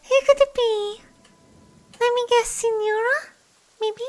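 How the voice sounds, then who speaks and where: A woman with a girlish voice reacting excitedly as she streams a video game as a VTuber. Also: extremely high pitch, slow pace.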